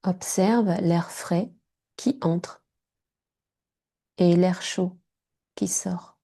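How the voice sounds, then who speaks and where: A woman guiding a yoga nidra relaxation in short, hushed, soothing phrases. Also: lowish voice, very slow, creaky, slightly rough. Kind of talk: guided meditation.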